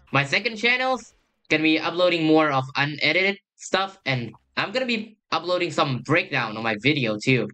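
Young guy yapping over a game, bright voice, kinda hesitant, mid pitch. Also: rough recording. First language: Thai. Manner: rambling, hesitant, trails off with "I guess". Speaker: youtuber. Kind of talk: casual gameplay commentary.

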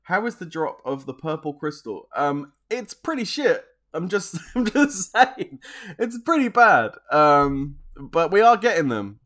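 A man's voice: on the high side, sing-song, a little slow, fairly bright tone. Laid-back man streaming a game. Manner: laid-back and joking, slangy, laughs often. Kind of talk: casual live-stream chat reading viewer questions.

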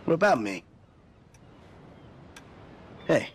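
A man's voice: fairly high pitch, very animated, drawn-out words, husky, heavily acted. An American man acting a sardonic, world-weary middle-aged retired criminal in a video game cutscene. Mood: bitter, defensive.

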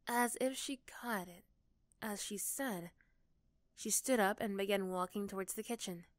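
A woman's voice: medium pitch, a little slow, no echo. A young woman narrating a second-person ASMR story as its narrator, close to the microphone. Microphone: close, dry microphone.

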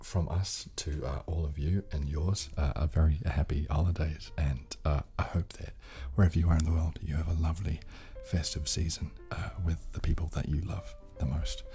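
Male ASMR host, thirties, extremely low and mellow, half-whispered. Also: steady tone, moderate pace, few pauses, soft background music. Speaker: channel host. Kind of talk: soft-spoken ASMR chat. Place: small room, indoors. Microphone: close studio condenser microphone.

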